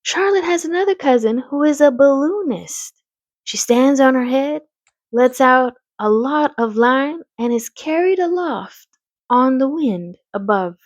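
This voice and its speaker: A woman's voice: high-pitched, animated, unhurried, no room sound, pitched above the performer's own voice. A woman voicing an eager, defiant little farm girl in a storybook, speaking with insistence. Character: defiant, sociable little farm girl who loves animals.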